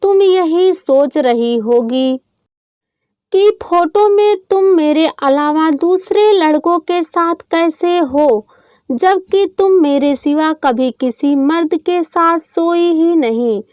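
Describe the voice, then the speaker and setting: Casting: woman reading as callous young college man, cold menace, high voice, slow deliberate threats, audio storybook. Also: darkish tone, no room sound. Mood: cold, gloating.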